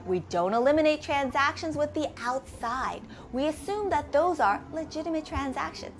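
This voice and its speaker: A woman's voice: high-pitched, slight background noise. A woman instructor narrating a scripted lesson step by step, methodically, posing questions and answering them herself. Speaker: accounting instructor.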